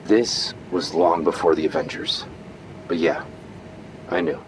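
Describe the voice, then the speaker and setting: An actor playing a sardonic, commanding billionaire hero. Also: male voice, low-pitched, unhurried, a little hiss or hum, low-quality recording. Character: cocky male billionaire hero leader.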